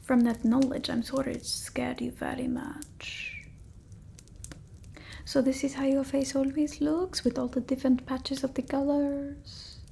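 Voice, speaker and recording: Girl doing a condescending vampire lady, soft dark whispering, asmr roleplay, bit of hiss on the close mic. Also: high voice, flat delivery, creaky. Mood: pitying, condescending. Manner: halting, fussy, gently bossy, blunt. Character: fussy, condescending female vampire doting on human familiar. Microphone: close ASMR microphone.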